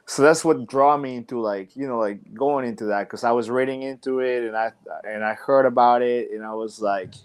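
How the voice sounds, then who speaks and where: A young man who wrestles professionally talks as a podcast guest at a mid-range pitch. He rambles thoughtfully and leans on "like" and "you know" while he works out his ideas. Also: fairly even intonation, normal speed, few pauses, clear, resonant tone. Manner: rambling and reflective, many "like" and "you know" fillers. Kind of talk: casual interview chat.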